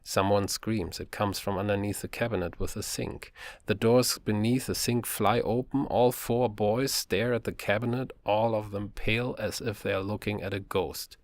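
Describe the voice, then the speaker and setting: A man narrating an audiobook with a low voice and a gravelly, creaky texture. His reading is calm and measured, and the sound is dry and close to the mic. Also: dry recording.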